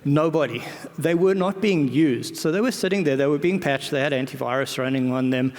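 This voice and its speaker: A man's voice: on the high side, fairly quick, darkish tone. Man giving a talk in a reverberant hall. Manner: hesitant, often repeats words and backtracks; colloquial, self-deprecating. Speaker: conference speaker. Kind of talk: informal conference presentation.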